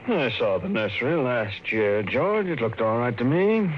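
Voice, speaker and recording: A middle-aged actor playing a calm, blunt family psychologist in a 1950s radio drama, measured and authoritative. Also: male voice, medium pitch, slight background noise, natural voice. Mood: grave. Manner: measured and authoritative, growing urgent. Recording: muffled 1950s broadcast with long reverb.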